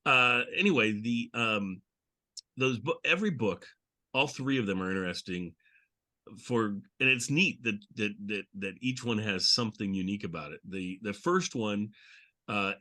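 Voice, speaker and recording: A man's voice: on the low side, moderate pace, pushed and bright. A man hosting a podcast in casual chat, talking in a rambling, conversational way. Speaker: podcast host.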